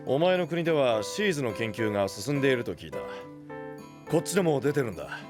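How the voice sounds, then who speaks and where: A man playing a rough-talking but big-hearted young guardian and gang boss in an anime voice drama, blunt and reassuring. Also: medium pitch, sing-song, fast, music in the background, natural voice. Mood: friendly, businesslike. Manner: blunt, tough street talk, reassuring.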